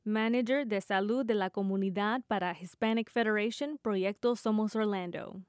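A woman's voice: medium pitch, moderate pace, clear, resonant tone, no echo. A woman from Texas with a Tex-Mex accent, hosting a public radio interview in careful, scripted Spanish. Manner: scripted, careful, apologetic about her Spanish. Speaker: radio host. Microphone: studio microphone.

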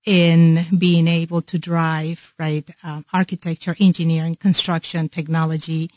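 A woman's voice: sits low, flat delivery, a little slow, a little mellow, no room sound, studio quality. A woman from Venezuela with a Venezuelan accent, a native Spanish speaker, answering conversationally as an executive interview guest.

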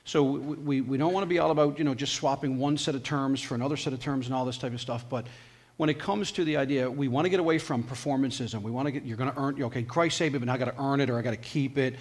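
Man, panel moderator, animated, building a long question, mid pitch. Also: fast talker.

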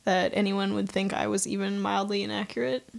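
A young adult woman co-hosting a podcast, chatting casually with deadpan, sarcastic jokes. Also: mid-range voice, fairly quick, gravelly. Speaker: podcast co-host. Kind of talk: casual chat.

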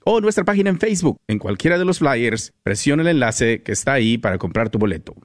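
A man reading a scripted radio advertisement in a brisk promotional manner. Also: mid-range voice, big pitch swings, no room sound. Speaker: radio announcer.

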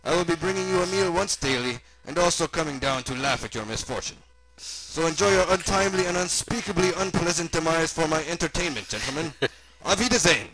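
A man puts on an eccentric German mad scientist for a comic story. The voice is pushed higher, with a thick mock German accent. He is sinister and gleeful, savoring each word theatrically and dropping in German. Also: lively intonation, brisk, few pauses, over a noisy crowd, natural voice, poor audio. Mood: gloating, sinister. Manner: theatrical, over-enunciated, mixing in German words.

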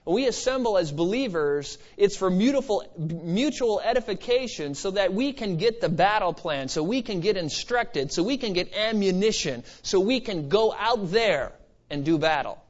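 High-pitched man preaching emphatically. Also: lively intonation, normal speed. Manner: emphatic, exhorting, conversational asides. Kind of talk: expository sermon. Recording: slightly dull, lo-fi sermon recording.

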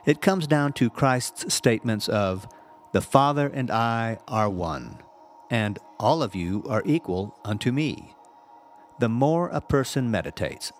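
A man reading a scripted spiritual text aloud in a measured, deliberate, instructive way. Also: mid-range voice, a little hiss or hum. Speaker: audiobook-style reader, reverend.

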